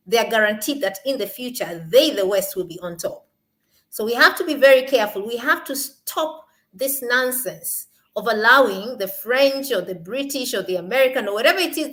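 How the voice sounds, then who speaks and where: Impassioned young Kenyan woman speaker. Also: a little high. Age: young adult. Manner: emphatic, rising to forceful peaks on key points. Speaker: panel speaker. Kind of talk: impassioned panel talk.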